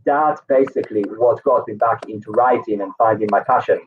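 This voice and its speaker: A man's voice: lowish voice, a little fast. A man in his thirties from Israel with an Israeli accent, a guest in an interview, telling his story casually with many fillers and restarts. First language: Hebrew. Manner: rambling, lots of fillers and restarts. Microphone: video call. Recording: distant, tinny call audio with faint noise.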